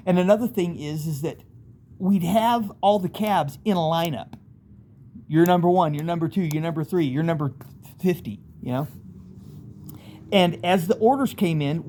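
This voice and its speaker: A man's voice: fairly high pitch, warm tone. American man rambling candidly, noisy background. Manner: rambling, candid, street-slang speech. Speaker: storyteller, channel host. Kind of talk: casual first-person storytelling monologue.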